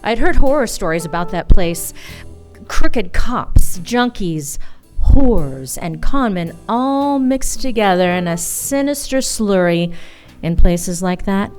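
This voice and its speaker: A woman playing a jaded, principled young female detective in a noir radio drama, deliberate and sardonic. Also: mid-range voice, animated, darkish tone, soft background music. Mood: brooding narration. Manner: world-weary, sardonic, deliberate.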